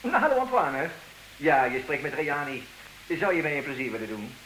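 An actor playing a suave, condescending, idle wealthy bachelor in a comedic radio play, with theatrical mock politeness. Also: male voice, fairly high pitch, lively intonation, fairly quick, fairly bright tone, rough recording. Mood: breezy, commanding. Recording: hissy old radio drama recording.

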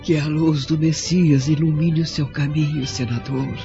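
An actor playing a devoted, gentle old maidservant in a radio drama, with a creaky voice and tender, reverent delivery. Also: male voice, on the high side, fairly even intonation, unhurried. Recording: dull lo-fi recording, music behind.

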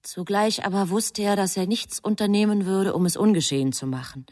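An actress as a composed female storyteller, giving deliberate scripted narration in a literary radio play. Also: medium pitch, expressive, a little mellow, natural voice.

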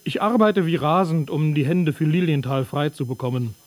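A man reading letters aloud in a radio production, plain and steady. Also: a little high, moderate pace, dry recording. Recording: dry studio.

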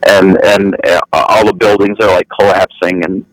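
Guest caller: man with a lowish voice, halting and self-deprecating, lots of restarts. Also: level tone, a crowd in the background, low-quality recording. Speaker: guest, novelist. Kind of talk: casual remote chat. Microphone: calling in remotely, hard to hear others. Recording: radio talk show.

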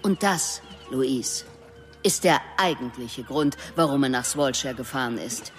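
Radio drama casting: sardonic woman detective, sarcastic interrogator, animated melody in the voice, light music underneath. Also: very deep voice, measured pace. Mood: accusing, triumphant. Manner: needling, sarcastic, rhetorical questioning. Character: sardonic, menacing female police detective interrogating suspects. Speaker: police investigator.

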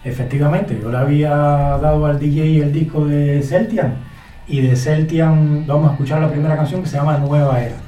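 A man with a Cuban accent, chatting easily as a guest in a radio interview and telling stories in a relaxed way. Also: fairly high pitch, fairly quick. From Cuba. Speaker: guest, radio colleague and author. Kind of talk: casual radio interview chat. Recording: echoey studio, distant mic, faint music.

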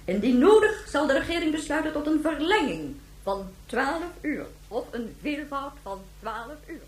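A stately female head of government speaks in a high, widely swinging voice. She delivers formal emergency announcements in a radio play, and the sound is roomy and echoing. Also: big pitch swings. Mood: solemn, official. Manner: stately, measured, official. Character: formal female planetary president reading emergency measures. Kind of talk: formal government broadcast speech in radio drama.

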